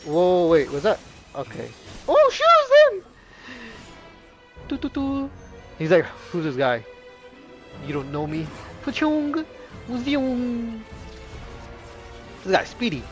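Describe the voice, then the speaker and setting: A man doing casual gameplay commentary on a livestream, excitable and playful, blurting out reactions and sound effects. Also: extremely high pitch, slow pace, long pauses, faint music behind. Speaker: game streamer.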